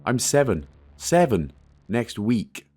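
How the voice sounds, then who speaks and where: A man's voice: medium pitch, very animated, mellow. A man voicing a scared seven-year-old boy who is crying for his mother in a horror story. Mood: timid. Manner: pleading, frightened, halting.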